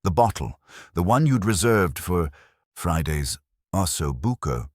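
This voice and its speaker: A man's voice: low-pitched, big pitch swings, measured pace, no room sound, studio quality, heavily acted. A man voicing an anxious male household cook, earnest and defensive, in an audiobook story.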